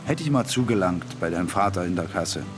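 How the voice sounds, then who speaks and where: A fed-up working-class drifter in a radio drama. His voice is lowish, his phrases terse and weary but defiant, with music playing behind him. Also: expressive, a little fast. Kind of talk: naturalistic radio play acting with terse inner narration.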